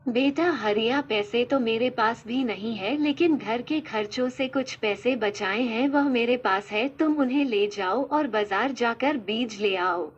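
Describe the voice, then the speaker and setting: Woman playing a highish-voiced poor village mother. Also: measured pace, hardly any pauses, slightly rough, muffled recording. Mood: caring, resigned. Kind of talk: Hindi moral-story cartoon dubbing.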